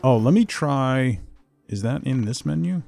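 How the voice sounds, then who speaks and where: A man's voice: medium pitch, expressive, measured pace, gravelly, a little mellow. A man chatting casually as he streams a game, thinking aloud in short fragments. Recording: music behind the voice. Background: music.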